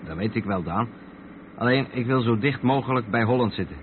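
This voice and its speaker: An actor playing a calm truck driver who explains things patiently to his mate in a radio drama. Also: mid-range voice, brisk, close to an everyday voice. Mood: patient, explanatory. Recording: tinny narrow-band radio recording with vehicle noise.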